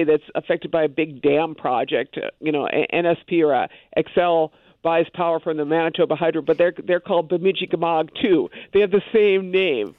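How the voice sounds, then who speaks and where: A woman's voice: fairly low pitch, no echo. Thin phone-line sound, woman chatting and explaining on a radio show, lots of you knows. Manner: emphatic storytelling, frequent "you know" asides. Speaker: guest. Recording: phone-like narrow band.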